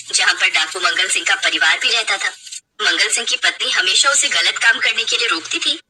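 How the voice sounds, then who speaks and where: A woman's voice: medium pitch, bright, forceful tone, a little hiss or hum, rough recording. A woman narrator reading a scripted dramatic story.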